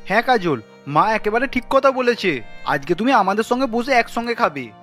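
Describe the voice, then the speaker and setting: A man voicing a young Bengali husband in a family cartoon, easygoing and colloquial, quick to protest. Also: sits high, sing-song, fairly bright tone, faint music behind. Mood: cheerful. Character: young Bengali husband, easygoing office worker, easily exasperated.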